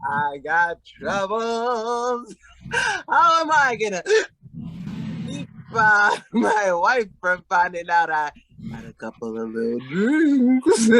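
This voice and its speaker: A whiny, slurring radio-drama drunk. The delivery is extremely drawn out, wailing and pleading, with a bright edge and big pitch swings. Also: female voice, sing-song, very slow, long pauses, no room sound, full character voice, low-quality recording. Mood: maudlin. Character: maudlin, pestering drunk barfly, whiny and slurring.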